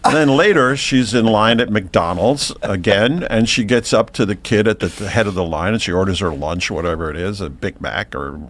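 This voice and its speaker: A man co-hosting a podcast, telling a long joke in casual chat, rambling and halting with many uhs before building to the punchline. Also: fairly low pitch, a bit bright. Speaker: podcast co-host. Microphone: podcast mic, audio only.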